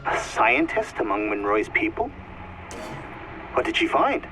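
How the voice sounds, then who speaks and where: An actor playing a learned, curious scholar of ancient ruins, with precise formal phrasing, in a video game. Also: male voice, lowish voice, huge pitch swings, measured pace, far from the mic, over music, poor audio. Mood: fascinated. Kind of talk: video game cutscene acting.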